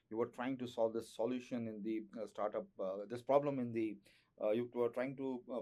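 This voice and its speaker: A man's voice: medium pitch, warm tone. A man hosting an interview, asking effusive questions in flowery, repetitive phrasing. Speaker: interview host.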